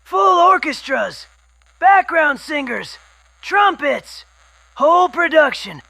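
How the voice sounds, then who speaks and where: A man playing a loud, excitable wasteland scavenger and collector of old recordings, who yells everything into the microphone. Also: very high-pitched, slow, vocal fry, exaggerated voice. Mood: excited. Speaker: radio guest.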